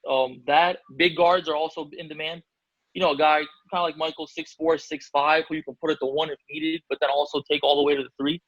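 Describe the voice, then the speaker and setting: A man's voice: fairly high pitch, level tone, brisk, no room sound, dull audio. A man from Albania, a basketball agent, answering interview questions casually with many fillers and restarts.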